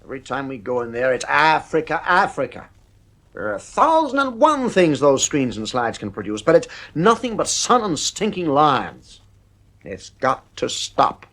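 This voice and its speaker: A man's voice: on the high side, very animated, normal speed, fairly bright tone. An actor playing a stern, nervy father with a British accent and clipped, blustering speech in a 1950s radio drama. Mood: stern anger.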